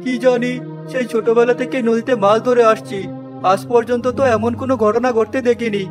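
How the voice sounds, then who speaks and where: A man playing a concerned, wondering village fisherman in an animated story. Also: very high voice, a little fast, music in the background. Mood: worried. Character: village fisherman, longtime river man, anxious companion.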